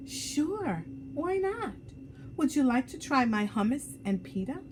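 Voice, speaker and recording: Woman doing little schoolgirl, storybook voice, proud, emphatic, raised pitch, lively swings, faint background noise. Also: takes it slowly, full character voice. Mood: friendly. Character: little schoolgirl Salma, proud, easily hurt, snappy.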